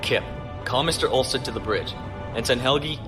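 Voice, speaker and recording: An actor playing an earnest, dutiful young starship captain in a science fiction audio drama, with polite military formality. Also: male voice, medium pitch, moderate pace, over music, close to an everyday voice. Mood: resolute.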